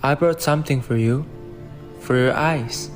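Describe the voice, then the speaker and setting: A man playing a kind uncle who speaks gently and reassuringly. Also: mid-range voice, a little slow, soft background music, close to an everyday voice. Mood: coaxing.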